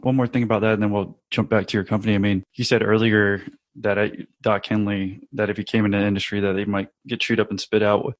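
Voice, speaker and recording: A man's voice: on the low side, flat delivery, fast. A man hosting a casual interview, asking questions in a tentative, roundabout way. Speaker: interview host.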